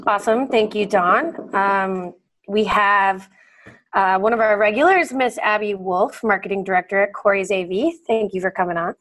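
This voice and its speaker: A woman's voice: medium pitch, moderate pace, a bit bright. A woman co-hosting a podcast who is recovering from losing her voice, making friendly introductions. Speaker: podcast co-host. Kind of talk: casual podcast discussion.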